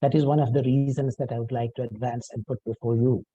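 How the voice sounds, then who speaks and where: An older man, a native Urdu speaker, giving a measured, formal academic talk about his book, pausing often with "uh" and correcting himself. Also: medium pitch, fairly even intonation, warm tone, dry recording, highs cut off. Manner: measured, formal, with frequent "uh" pauses and self-corrections. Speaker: author presenting his book.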